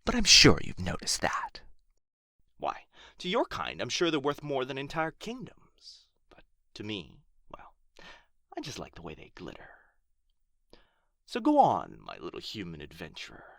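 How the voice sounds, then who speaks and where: A man playing an irritable, proud dragon guarding his hoard in an ASMR fantasy roleplay, with a theatrical, haughty, breathy delivery. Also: medium pitch, huge pitch swings, unhurried, sharp tone, no room sound, studio quality, full character voice. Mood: scornful, teasing.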